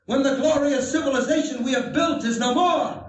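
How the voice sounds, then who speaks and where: A man's voice: very high-pitched, normal speed, far from the mic. A man playing a grave, impassioned alien scientist foretelling doom in declamatory 1940s radio style. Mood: urgent, impassioned. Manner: solemn, oratorical, declamatory. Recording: echoey 1940s radio broadcast recording.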